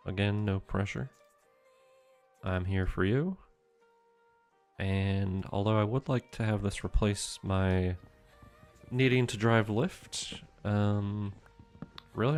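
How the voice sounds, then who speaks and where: Young guy streaming at home, low voice, talks softly and rambles to chat. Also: a little slow, pauses often, faint music behind. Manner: soft-spoken, meandering, chatty with viewers. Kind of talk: casual gameplay commentary.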